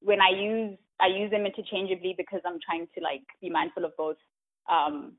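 A woman from Africa, speaking as an interview guest in a thoughtful, casual way, often pausing on "um" and checking in with "right?". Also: lowish voice, little pitch movement. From South Africa. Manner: thoughtful, many "um"s and "right?" tags. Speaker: guest, tech community co-founder. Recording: tinny phone-like line.

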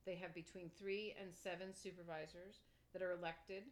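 Low-voiced chatty older woman. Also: little pitch movement, normal speed, a little hiss or hum. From Pennsylvania. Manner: chatty, explains local government plainly, laughs often. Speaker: township supervisor. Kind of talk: casual interview conversation.

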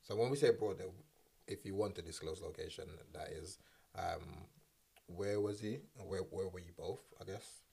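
A man's voice: sits low, moderate pace, vocal fry, mellow, breathy edge. A man hosting a casual group conversation in informal, slangy speech. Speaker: podcast host.

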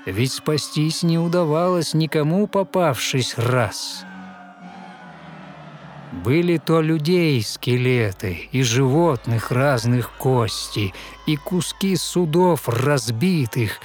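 A man narrating a fairy tale in verse, dramatic and measured, pausing expressively between short phrases. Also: on the high side, expressive, a little hiss or hum. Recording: studio narration over music. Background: music.

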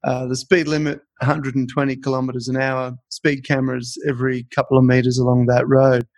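A man's voice: medium pitch, brisk, no room sound. A man hosting an interview, chatting casually and telling a joke story. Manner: relaxed storyteller, tells jokes. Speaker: podcast host.

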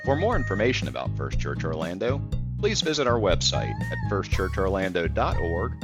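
Announcer: man with a very deep, animated read over loud guitar. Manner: smooth, measured announcer read. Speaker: podcast announcer. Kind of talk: scripted intro announcement. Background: guitar music.

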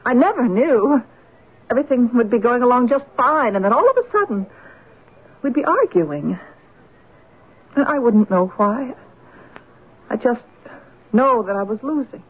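A naive, lovelorn American woman in an old-time radio play. She is breathy and a little husky, speaking in simple, hesitant, girlish phrases with a wistful air. The recording is thin and narrow like an old broadcast. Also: a little high, sing-song, unhurried, long pauses, heavily acted. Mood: sad, bewildered.

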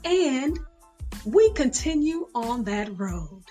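A woman hosting a radio show, reading a scripted introduction with emphatic, deliberate enunciation. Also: high voice, sing-song. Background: music.

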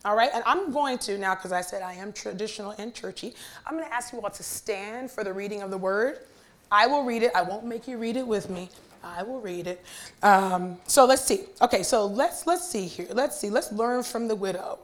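Raspy young woman preaching in church. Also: medium pitch, moderate pace. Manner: chatty and warm with the congregation, asking for responses. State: hoarse from a weekend of talking. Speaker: guest preacher. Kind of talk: sermon with prayer. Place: church sanctuary.

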